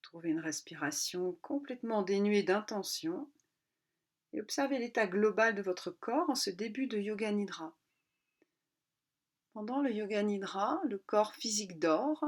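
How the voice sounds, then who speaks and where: Woman guiding a calm relaxation session. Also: medium pitch. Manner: calm, unhurried, instructional with pauses between short phrases. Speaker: yoga nidra instructor. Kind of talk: guided relaxation.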